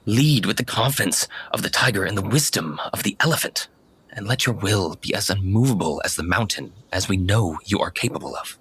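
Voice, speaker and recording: A man's voice: fairly low pitch, a little fast, a bit bright, breathy edge. A man voicing a stern but caring woman warrior mentor in a tabletop game, with commanding, solemn encouragement.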